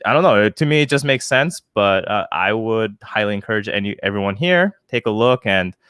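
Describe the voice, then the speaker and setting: A man in his thirties hosting a casual tech chat, calm and relaxed, with a laid-back delivery. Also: lowish voice, brisk, smooth, no room sound, clean studio sound. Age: 30s. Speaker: host, tech channel.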